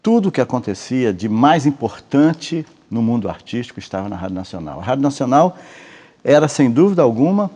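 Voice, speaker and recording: Low husky man in a reverberant room. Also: big pitch swings, brisk, warm tone. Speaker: interviewee. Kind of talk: documentary interview.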